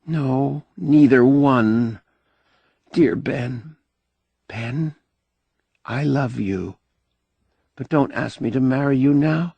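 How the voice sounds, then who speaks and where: A male narrator plays a young woman in an audiobook, gentle and reluctant. The voice is raised in pitch, unhurried and breathy, with a hesitant delivery. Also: no echo, dull audio, heavily acted. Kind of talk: audiobook character dialogue.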